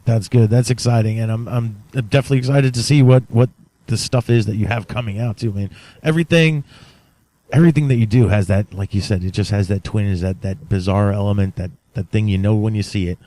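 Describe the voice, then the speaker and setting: A man's voice: on the low side, fairly quick, dark tone. A man hosting a podcast, interviewing casually in an informal, admiring, fan-like manner.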